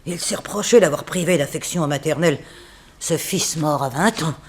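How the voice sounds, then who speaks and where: A woman playing a composed, unsentimental figure in a 1960s French radio mystery, telling things dramatically with weighted pauses. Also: sits low, natural voice. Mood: somber. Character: composed, unsentimental woman recounting a family tragedy. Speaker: actress in a radio serial.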